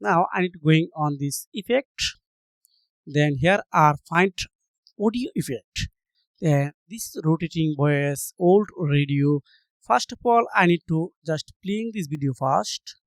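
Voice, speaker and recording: Male tutorial narrator, slightly high voice, halting non-native phrasing, dry sound. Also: dry recording. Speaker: software tutorial presenter. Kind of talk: step-by-step screen tutorial narration.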